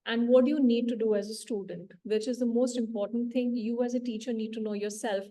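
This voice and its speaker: A woman's voice: on the high side, fairly even intonation, a little fast, mellow. A woman English teacher hosting a workshop over a video call. She welcomes people and explains by asking questions.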